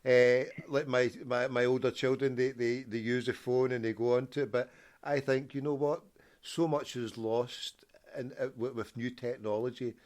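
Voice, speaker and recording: A man's voice: medium pitch, steady tone, normal speed, a little mellow. A man with a Scottish accent making a prank phone call, rambling and stammering as he talks. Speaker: prank caller.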